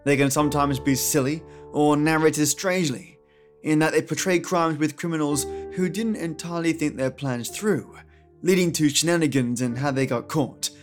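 Slightly higher-voiced man introducing over music. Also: fairly even intonation, normal speed. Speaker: podcast host. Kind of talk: scripted introduction narration. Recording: music under the voice. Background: music, guitar.

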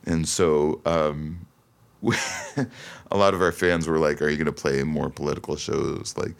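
A man's voice: a bass voice, flat delivery, unhurried, gravelly. A man from Toronto, Canada, talking casually and reflectively as a musician guest in an interview, often hesitating. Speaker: singer-songwriter guest.

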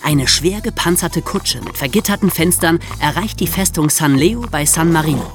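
A man, narrating and chatting as a podcast co-host. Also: on the high side.

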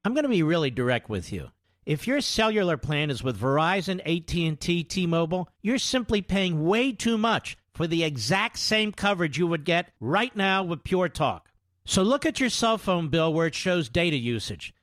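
A man's voice: fairly high pitch, big pitch swings, normal speed, a bit bright, no echo, studio quality. A man reading a radio ad in a direct, salesy way. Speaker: ad announcer. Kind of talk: scripted ad read.

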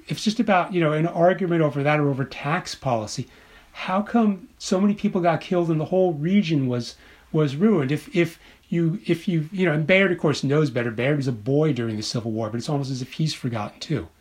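A man speaking as an academic guest in an interview, thinking aloud haltingly and often repeating words for emphasis. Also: a little high, a little mellow. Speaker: interview guest, author and literature professor. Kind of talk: scholarly interview conversation.

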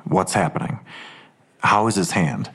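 A man's voice: deep voice, very animated, a little slow, gravelly, a bit bright, slightly rough, exaggerated voice. An actor playing a weary, defensive police detective and anxious father, terse and guarded, in a podcast audio drama. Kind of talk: full-cast audio drama.

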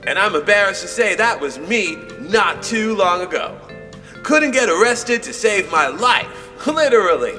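A man's voice: sits high, big pitch swings, measured pace, sharp tone, in a large hall, distant mic, faint music behind, full character voice. An actor playing a defeated, hapless man in a comedy audio drama, insistent and prone to stammering. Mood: showy confession. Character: defeated, overweight, hapless man posing as a criminal.

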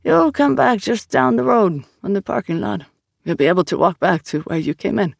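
An audiobook narrator voicing a serene male acolyte who speaks haltingly and philosophically, searching for words. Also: mid-range voice, vocal fry, husky, dry recording, studio quality, pitched above the performer's own voice. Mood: gentle, halting. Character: serene, hesitant otherworldly religious acolyte, a male. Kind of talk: audiobook character dialogue.

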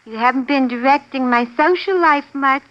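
A woman's voice: high voice, normal speed, natural voice. An actress playing a naive, lovelorn young woman in a 1950s radio drama, plaintive and slangy, with an American accent. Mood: coaxing. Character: lovelorn, dim, persistent young woman pining to marry. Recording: muffled vintage 1950s radio recording.

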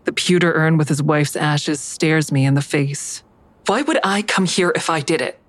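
A woman's voice: sits low, normal speed, slight background noise, natural voice. A woman playing a guarded, blunt protagonist in an audio drama, narrating and speaking with a dry, terse manner. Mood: probing, guarded. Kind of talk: first-person audio drama narration and dialogue.

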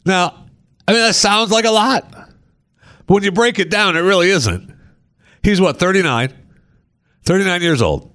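A man hosting a talk radio show, telling a story in casual banter and working through the numbers slowly with dry humor. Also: high voice, dry recording. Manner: wry, deadpan storyteller who walks through numbers slowly.

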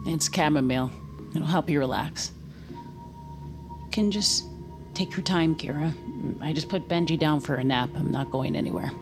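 A woman's voice: deep voice, wildly swooping pitch, normal speed, pauses often, music in the background. A woman playing a concerned, persistent mother in an audio drama. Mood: concerned, coaxing.

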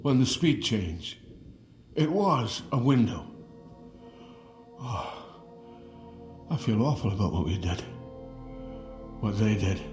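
A confused, halting older man. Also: fairly low pitch, expressive, darkish tone. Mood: confused, remorseful. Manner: halting, faltering, repeats himself. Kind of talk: audio drama acting. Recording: echoey room, music behind.

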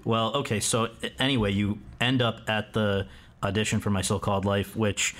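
A man hosting an interview, asking probing questions in a measured way, with frequent agreeing murmurs. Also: lowish voice, little pitch movement, fairly bright tone. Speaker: interviewer, host.

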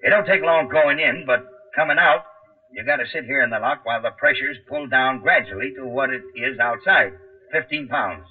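A man's voice: medium pitch, a little fast, slightly rough, lightly acted. An actor playing a middle-aged, seasoned American tunnel worker and father, plainspoken and patient, in 1940s radio drama style. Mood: explaining. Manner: plainspoken, colloquial explaining. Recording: tinny, band-limited 1940s radio recording.

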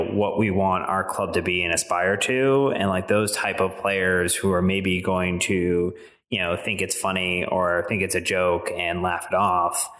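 Deep-voiced man, halting podcast chat. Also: a bit bright. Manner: hesitant, many fillers and restarts. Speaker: podcast co-host. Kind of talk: casual fan podcast chat.

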